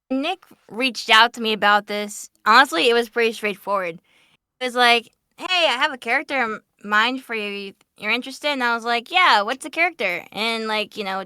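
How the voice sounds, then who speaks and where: A woman with a high, very bright voice talks casually on a voice acting panel. Her delivery is smooth and playful, and the sound is very dry. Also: no room sound. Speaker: voice actor on a fan dub Q&A panel.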